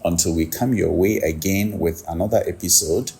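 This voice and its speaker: A man's voice: low voice, normal speed, warm tone, breathy edge. A man hosting a podcast, addressing listeners in a formal, courteous way. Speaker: podcast host.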